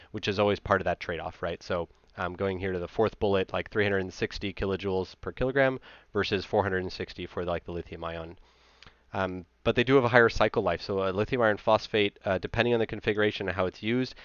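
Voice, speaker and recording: A man in his thirties with a low-pitched voice explains technical material as a presenter. His delivery is informal and conversational. The recording is dry and close, with slightly dull, lo-fi audio. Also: no echo.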